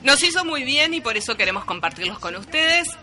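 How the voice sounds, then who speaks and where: A woman's voice: sits high, very animated, a little fast, bright, forceful tone. A woman with a Rioplatense accent, co-hosting a radio show in a teasing, playful way. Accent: Rioplatense Spanish. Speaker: radio co-host. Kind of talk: casual radio chat. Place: radio studio.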